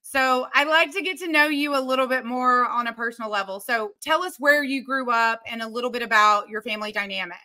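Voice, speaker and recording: A woman's voice: fairly high pitch, steady tone, brisk, hardly any pauses, bright, forceful tone. A woman in her thirties hosting a video-call interview, asking questions in an upbeat, encouraging way from a home office. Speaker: interview host.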